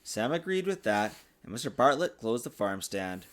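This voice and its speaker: A man reading a children's storybook aloud in an expressive, sing-song way, as a father does for his young child. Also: mid-range voice, moderate pace. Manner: patiently answers child's interruptions. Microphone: desktop USB microphone.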